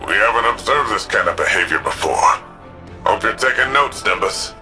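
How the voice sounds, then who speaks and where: A man's voice: lowish voice, animated, normal speed, pushed and bright, faint music behind. A man playing a stern, duty-bound veteran mentor over radio comms in a video game, serious and instructive.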